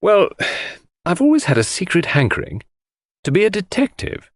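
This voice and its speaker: Man playing eager, higher-pitched amateur detective gentleman. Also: wildly swooping pitch, moderate pace, dry recording, clean studio sound. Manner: eager, slightly pompous. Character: earnest, self-satisfied gentleman, former soldier, eager amateur detective.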